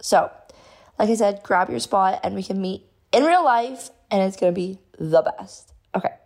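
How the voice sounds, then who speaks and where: A woman hosting her own podcast, talking casually and effusively with a hoarse voice she has lost. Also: mid-range voice, moderate pace, a little mellow. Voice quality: gravelly, strained. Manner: bubbly, effusive. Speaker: podcast host.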